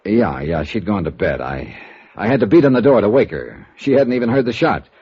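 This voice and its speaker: An actor playing a wry, brisk American insurance investigator in a 1950s radio drama, with a clipped, matter-of-fact delivery. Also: male voice, lowish voice, sing-song, breathy edge, no echo. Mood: dry, patient. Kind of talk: 1950s radio detective acting and narration. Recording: muffled vintage 1950s radio recording.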